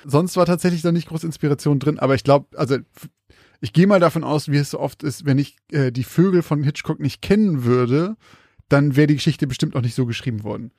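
A man's voice: on the high side, fairly quick, darkish tone, no room sound, studio quality. A man chatting casually as a podcast co-host, telling things in a colloquial storytelling way.

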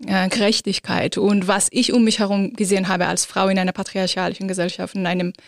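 Halting woman with gravelly voice. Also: medium pitch, little pitch movement, no room sound, studio quality. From Iran. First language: Azeri. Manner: thoughtful, halting with many fillers. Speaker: guest, philosopher of religion. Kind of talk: interview answers.

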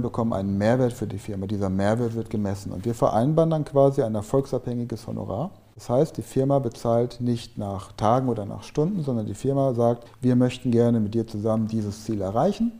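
Man explaining with a mellow, dark voice. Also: medium pitch. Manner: explanatory, tells anecdotes. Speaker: business language mentor, interview guest. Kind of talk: conversational interview.

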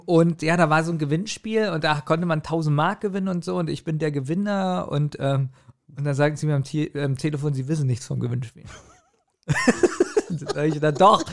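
A man chatting casually as a podcast co-host, telling personal stories in an animated way and often chuckling. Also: on the high side, gravelly. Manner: animated storytelling, frequent chuckling. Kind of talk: casual chat, personal anecdotes.

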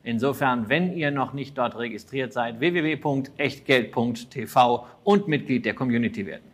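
A man with a mid-range voice co-hosts a podcast. He talks in a steady, matter-of-fact way, running through notices and putting questions to his guest. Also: medium pitch, normal speed. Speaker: podcast co-host. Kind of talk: podcast hosting, reading disclaimer.

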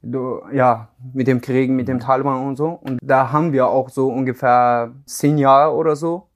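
Young guy, mid-pitch voice, hesitant german, keeps searching for words. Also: fairly even intonation, moderate pace. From Afghanistan. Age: 20s. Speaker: guest. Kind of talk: casual interview talk.

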